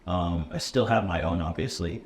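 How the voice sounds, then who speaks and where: A lower-pitched American man in his thirties talks through his career in a loose, conversational way, dropping ums and checking in with "okay?" as he explains. The room rings a bit around him, with a faint hum underneath. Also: moderate pace. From USA. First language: English. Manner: casual, many ums and uhs, checks in with "okay?". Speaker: computer science instructor. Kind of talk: relaxed conversational interview. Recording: roomy echo, faint room noise.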